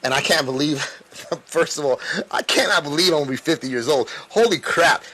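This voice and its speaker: A man's voice: on the high side, moderate pace, a bit bright. A man in his late forties, a musician talking candidly to camera in an earnest, confiding way, often restarting his sentences. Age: 40s. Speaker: musician and vlogger.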